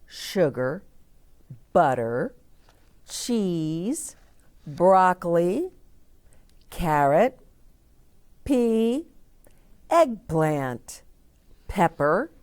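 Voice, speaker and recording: Female children's storyteller, slightly low register, dramatic and very animated delivery. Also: dry recording. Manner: deliberate, dramatic, with asides to young listeners. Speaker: storyteller reading children's books aloud. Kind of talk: expressive children's read-aloud.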